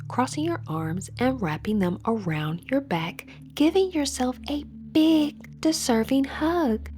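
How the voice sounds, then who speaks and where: Higher-pitched woman guiding a meditation. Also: a little mellow. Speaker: meditation guide, host. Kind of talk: guided meditation narration.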